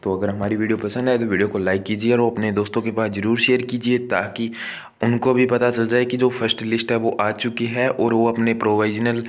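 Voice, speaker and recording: A male tutor records a walkthrough of his phone screen. He speaks in a fairly flat, even voice at a middling pitch. He calls his viewers "guys" and keeps restating each figure with "that is to say.". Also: a little fast. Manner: addresses viewers as guys, repeats and restates numbers with frequent "yaani ki". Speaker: online tutor for agriculture exams.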